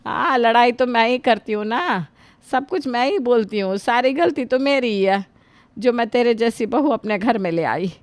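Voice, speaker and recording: A woman's voice: fairly high pitch, lively intonation, moderate pace, no echo, natural voice. A woman voicing an aging, put-upon mother-in-law in a family drama, who scolds and sighs to God about her treatment. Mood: hurt. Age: older. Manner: wounded, scolding, sighing to God. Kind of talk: Hindi family drama voice acting.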